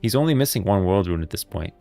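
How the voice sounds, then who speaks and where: A man's voice: deep voice, expressive, fast talker, vocal fry, mellow, dry recording, faint music behind. A man hosting a livestream, talking casually about game lore and rambling as he thinks aloud. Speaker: livestream host.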